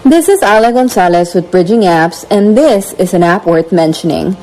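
A woman reading a scripted app review segment in a smooth, even delivery. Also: fairly low pitch, moderate pace, rough recording.

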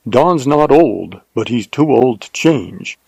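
A man acts out a giant, kindly frontier rancher from a storybook western. He speaks in a grave, measured, sonorous way, thinking each point through. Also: mid-range voice, lively intonation, creaky, darkish tone, husky, no room sound, heavily acted. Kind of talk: audiobook character dialogue.

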